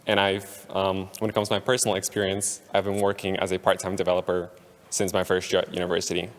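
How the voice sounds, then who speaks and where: A man, introducing himself in a relaxed way as a developer, with um's. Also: low-pitched, fast talker. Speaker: panelist, iOS developer. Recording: long concert hall reverb.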